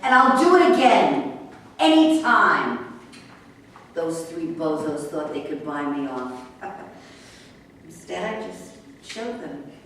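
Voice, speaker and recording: A woman's voice: low-pitched, sing-song, takes it slowly, a bit bright, exaggerated voice. A woman playing a brash, defiant racehorse trainer in a staged reading of a play. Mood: defiant, indignant. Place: echoey room at a live staged reading. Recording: echoey room from far off, faint noise.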